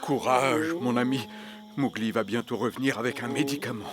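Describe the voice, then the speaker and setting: A man's voice: medium pitch, normal speed, background noise, close to an everyday voice. A man voicing a big, kindly, anxious male bear in a French cartoon dub, protective and worried. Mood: soothing. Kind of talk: French-dubbed cartoon acting.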